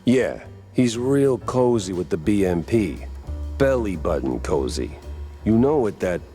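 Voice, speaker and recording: A man playing a gruff, wisecracking 1930s private detective mouse in a hardboiled cartoon noir game. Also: sits low, very animated, a little slow, warm tone, exaggerated voice. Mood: sardonic. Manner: wry, hardboiled. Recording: music behind the voice.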